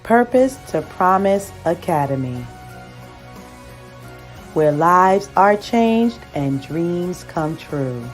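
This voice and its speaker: Slow woman reading a commercial. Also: fairly low pitch, animated, faint music behind. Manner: slow, measured promotional delivery. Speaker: ad voice-over. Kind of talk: scripted commercial read.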